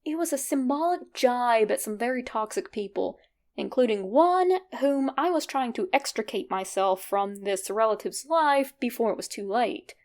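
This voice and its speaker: A woman's voice: on the high side, lively intonation, moderate pace, a little mellow. A woman narrating a scripted first-person story in a conversational way, with wryly humorous asides.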